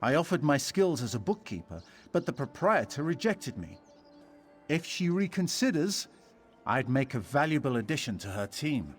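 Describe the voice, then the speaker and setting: A man's voice: medium pitch, big pitch swings, normal speed, faint music behind, close to an everyday voice. A man playing a haughty, aggrieved scholar and artificer in a video game, wordy and indignant. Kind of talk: video game character dialogue.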